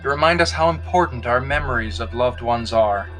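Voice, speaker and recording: A man narrates a scripted folktale with measured expression. His voice is bright and forward, at a medium pitch. Soft music sits faintly behind the reading. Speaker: podcast host and story reader.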